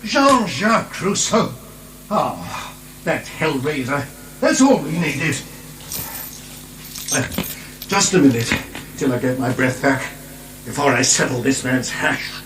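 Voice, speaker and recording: An actor playing a pompous, fussy older prison governor with a hesitant manner, in a radio drama. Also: male voice, on the high side, animated, takes it slowly, long pauses, noisy background. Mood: irritable.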